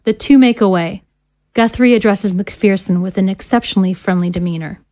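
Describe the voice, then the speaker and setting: A woman's voice: medium pitch, moderate pace, dark tone, dry recording. A woman reading scene directions as scripted narration for an audio drama.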